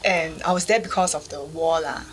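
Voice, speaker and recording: Fast-talking Singaporean woman. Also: sits low, slight background noise. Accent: Singaporean English. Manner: matter-of-fact, with local slang. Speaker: guest. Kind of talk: casual panel chat.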